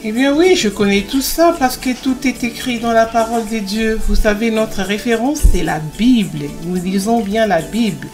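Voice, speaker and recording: A woman's voice: fairly high pitch, normal speed, few pauses, darkish tone, natural voice. Encouraging mother teaching, a woman acting, over music. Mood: warm, reassuring. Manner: didactic, encouraging, repeats phrases for emphasis. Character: gentle, devout queen mother teaching her children. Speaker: mother teaching children about the Bible. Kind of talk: religious children's cartoon dialogue.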